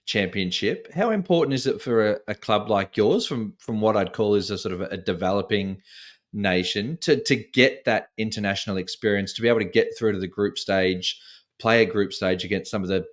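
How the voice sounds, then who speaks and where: Male podcast host, lower voice, wordy questions with restarts. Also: brisk. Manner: long rambling questions with restarts.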